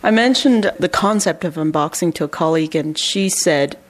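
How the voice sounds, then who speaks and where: A woman's voice: deep voice, moderate pace. A woman working as a radio reporter, asking a guest questions in a friendly way. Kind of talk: interview questions.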